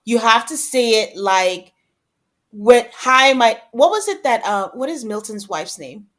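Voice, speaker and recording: A woman's voice: fairly high pitch, very animated, unhurried, fairly bright tone. A woman co-hosting a podcast, chatting casually about reality TV and acting out imagined quotes as she talks. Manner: conversational, acts out imagined quotes. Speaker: podcast co-host.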